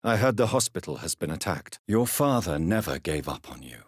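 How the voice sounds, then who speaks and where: Deep, husky British vampire doctor. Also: male voice, big pitch swings, no room sound, studio quality, full character voice. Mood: courteous, measured. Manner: formal, measured, articulate, intense. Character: grave, courteous gentleman doctor turned vampire, tormented and stern. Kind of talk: video game voice acting, scripted dramatic dialogue.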